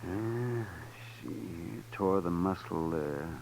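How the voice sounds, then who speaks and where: An actor playing a fussy, kindly old American town doctor in a 1950s radio western, muttering and repeating himself. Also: male voice, sits low, slow, vocal fry, darkish tone, full character voice. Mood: fussing. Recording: faint hiss, old radio recording.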